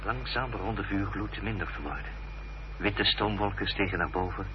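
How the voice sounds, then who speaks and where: An actor playing an earnest gentleman who narrates his own story in a radio play, deliberately and with suspense. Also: low voice, background noise, lightly acted. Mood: sombre. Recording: dull, faintly humming old radio recording.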